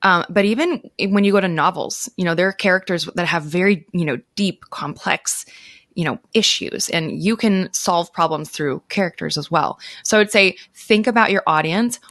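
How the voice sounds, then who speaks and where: A woman's voice: on the low side, normal speed, fairly bright tone, no room sound. Woman giving casual expert advice, dry close sound. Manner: explanatory, informal. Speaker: interview guest, author and teacher. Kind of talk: conversational advice. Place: indoor room, on a video call. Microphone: close microphone.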